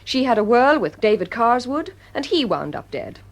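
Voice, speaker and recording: An actress playing a smart, inquisitive detective's assistant in an audio drama, playful and probing. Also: female voice, on the high side, very animated, normal speed. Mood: teasing, curious.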